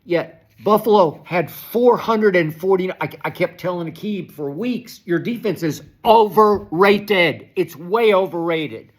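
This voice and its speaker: A man's voice: sits high, unhurried, hardly any pauses. A man hosting a sports talk show, ranting with exasperation, often stopping and restarting. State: hoarse, losing his voice. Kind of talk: animated solo sports commentary.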